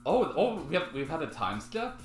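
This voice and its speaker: A man co-hosting a casual group comic reading, jokey and animated. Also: fairly high pitch, normal speed, reverberant, faint music behind. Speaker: podcast co-host.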